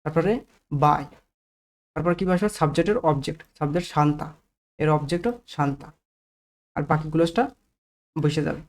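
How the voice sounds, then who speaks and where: A man's voice: fairly high pitch, fairly even intonation, moderate pace, pauses often. A man teaching grammar step by step to viewers, explaining each rule and often checking understanding with short tag questions.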